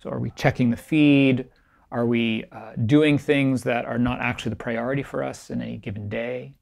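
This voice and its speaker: Calm gravelly-voiced man giving a reflective talk. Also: medium pitch, moderate pace. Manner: calm, reflective, gently emphatic. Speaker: meditation teacher giving a keynote. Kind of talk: recorded keynote talk. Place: small bright room with a plant, indoors. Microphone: camera framed at chest height, facing the speaker.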